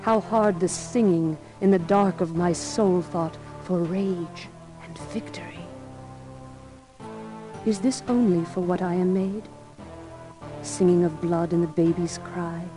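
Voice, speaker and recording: A woman voicing a brooding, bloodthirsty living sword, speaking in slow, solemn, poetic lines. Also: on the low side, steady tone. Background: music.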